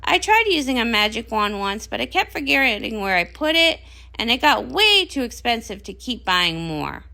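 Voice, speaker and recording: A woman plays a magical wishing well nymph in a comic read-along, whimsical and grandiose. The voice is high and bright, chatty and full of theatrical swoops. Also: sing-song, moderate pace, gravelly, exaggerated voice. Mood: playful, grand.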